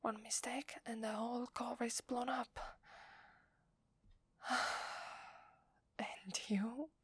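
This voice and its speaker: A woman with an Italian accent playing a loving, playful werewolf wife in a soft, breathy ASMR roleplay, tender and intimate in her delivery. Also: fairly high pitch, little pitch movement, slow, no echo, heavily acted. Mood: apologetic, remorseful. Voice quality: breathy, whispery.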